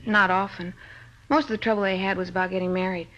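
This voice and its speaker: An actress playing a chatty, guileless young witness in a 1950s radio crime drama, casual and matter-of-fact. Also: female voice, medium pitch, level tone, fast talker, fairly bright tone, slight background noise, close to an everyday voice, rough recording.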